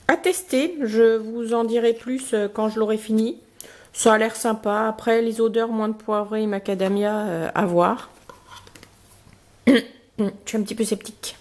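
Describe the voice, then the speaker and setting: A woman with a cold and a blocked nose, chatting through a beauty box review with many pauses and fillers and reading product cards aloud. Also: on the high side. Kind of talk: casual unboxing review.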